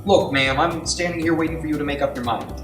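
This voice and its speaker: A man plays a surly, threatening bartender in an amateur radio play. The character talks fast in brusque, impatient slang. The room echoes and music plays clearly behind. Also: fairly high pitch, a bit bright, lightly acted.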